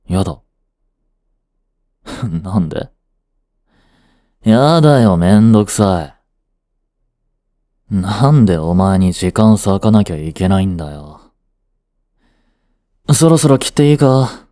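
A man's voice: on the low side, lively intonation, slow, long pauses, dark tone, dry recording. A man acting an aloof, dry but secretly fond teenage boyfriend in a romantic voice drama, speaking in a cool, curt, teasing way. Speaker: voice actor. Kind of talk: romantic situation voice drama, one-sided dialogue.

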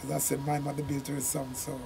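An older man with a Jamaican accent, a reggae singer telling his story in a relaxed, rambling way in a small studio room. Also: medium pitch, gravelly, background noise. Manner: unhurried, rambling recollection with Jamaican turns of phrase. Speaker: reggae singer and sound system man, interview guest.

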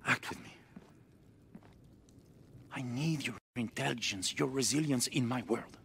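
An actor playing a proud, driven middle-aged cult leader in a video game cutscene, intense and grandiose, moving between hushed and declamatory. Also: male voice, medium pitch, unhurried, gravelly, a little hiss or hum. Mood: urgent.

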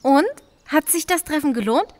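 An inquisitive female heiress played by an actress in an audio drama, with a fairly high voice. She is direct and sceptical, probing with questions. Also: animated, moderate pace, a little mellow. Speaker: heiress, client. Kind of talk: German audio drama dialogue.

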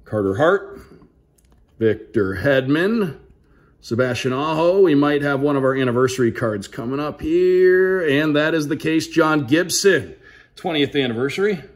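An American man hosting a casual unboxing as a sports broadcaster, announcing names crisply like a play-by-play caller. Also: fairly high pitch, expressive, measured pace. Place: indoors at a tabletop, small room.

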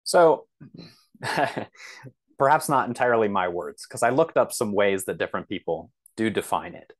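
A man's voice: fairly low pitch, animated, moderate pace. A man giving conversational interview answers as a podcast guest, explaining things in a thoughtful, hesitant way. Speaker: podcast guest, author and computer science instructor. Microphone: video call.

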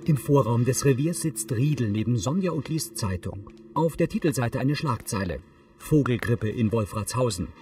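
A man reading scripted audio description for a TV series in a neutral, measured manner. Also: medium pitch, lively intonation, mellow, soft background music.

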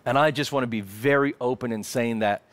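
A man in his thirties with an Australian accent, preaching a sermon into a headset mic on a church stage, emphatic and shifting between fervent and hushed. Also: medium pitch, brisk. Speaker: pastor. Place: church stage in front of a lit screen.

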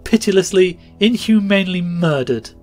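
A man's voice: sits high, takes it slowly, creaky, a little mellow, faint music behind, voice pushed up, full character voice. A man voicing a frantic grieving older woman. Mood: passionate. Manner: pleading, near frenzy. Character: frantic grief-stricken woman of about fifty.

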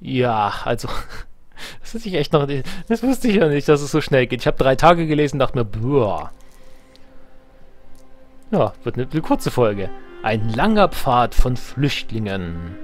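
A man hosts a let's play over clear game music. His pitch swings in a lively way as he moves between casual commentary, jokey asides and reading on-screen text. He sounds self-ironic and playful, at an ordinary male pitch. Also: measured pace.

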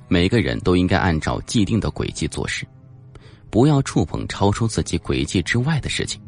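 A man narrating a suspense novel as an audiobook, with a dramatic, deliberate storytelling delivery. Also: low voice, breathy edge.

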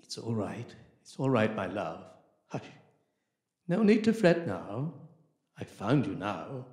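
An actor playing an anxious, devoted husband in a radio play, emotional and repeating himself. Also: male voice, fairly low pitch, sing-song, slow pace, a little mellow, heavy reverb, heavily acted. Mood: soothing, tender. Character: anxious, devoted husband searching for his lost love.

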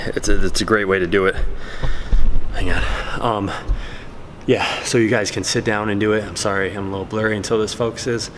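Man in his thirties, low voice, coaching between sets, long gym reverb, faint hum behind. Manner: relaxed, talking between sets with fillers. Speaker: fitness vlogger and trainer. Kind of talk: casual workout instruction to camera. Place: large indoor gym. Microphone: camera-mounted mic, camera on a stand.

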